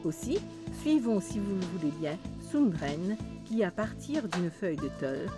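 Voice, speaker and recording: A woman's voice: lowish voice, expressive. Woman narrating a documentary over music. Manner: measured, polished delivery. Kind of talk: scripted narration. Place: voice-over studio.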